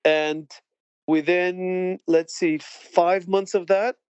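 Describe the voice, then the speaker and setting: A man's voice: high voice, fairly even intonation, darkish tone, clear, resonant tone, no echo, dull audio. Chatty man in his late thirties. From Bulgaria. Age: late 30s. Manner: chatty, laughs often, halting restarts. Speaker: guest. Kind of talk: casual interview chat.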